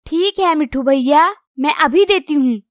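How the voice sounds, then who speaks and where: A woman's voice: high-pitched, animated, a little slow, no echo, studio quality. A woman voicing a friendly, polite little female bird who sells mangoes in a children's cartoon. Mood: cheerful, polite.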